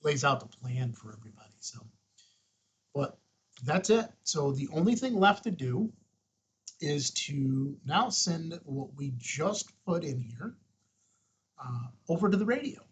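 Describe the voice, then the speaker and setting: A man hosting an unscripted, casual software walkthrough, thinking aloud and murmuring to himself as he works. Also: a little high, big pitch swings, unhurried. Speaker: host of a radio tutorial.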